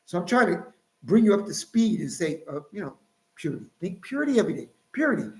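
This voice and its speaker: A man's voice: high voice, very animated, fast, creaky, a little mellow. Emphatic preaching man, roomy echo. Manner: emphatic, lecturing, jumps up into mocking quoted voices. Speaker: Hebrew Israelite teacher. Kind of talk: preaching monologue with scripture reading.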